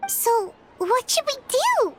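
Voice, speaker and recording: A woman voicing a tiny, childlike fairy companion in a video game, squeaky, earnest and emphatic. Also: huge pitch swings, slow, over music, exaggerated voice. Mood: puzzled, concerned.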